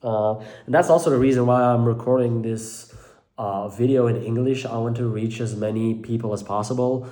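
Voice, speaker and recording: A man's voice: medium pitch, fairly even intonation, moderate pace, mellow. A young man from Belgium, a native Dutch speaker with a Belgian Dutch accent, talking to camera about mental health from his living room, hesitant and full of fillers and restarts. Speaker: mental health content creator. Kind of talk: casual talk to camera.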